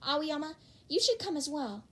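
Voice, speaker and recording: A cheery teenage schoolgirl schemer from a fan audio story, voiced by a woman. She speaks in a high, chirpy voice. Her manner is eager. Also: very animated, moderate pace, fairly bright tone.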